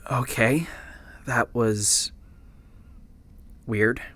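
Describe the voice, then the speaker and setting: A man's voice: mid-range voice, fairly bright tone. A man playing a rambling, bookish podcast host who researches occult books, speaking naturally and hesitantly in an audio drama. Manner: hesitant, muttering, explanatory.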